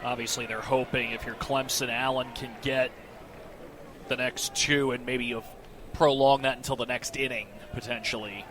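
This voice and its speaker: Mid-pitched male baseball color analyst. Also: level tone, normal speed, background noise. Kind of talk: casual live sports commentary.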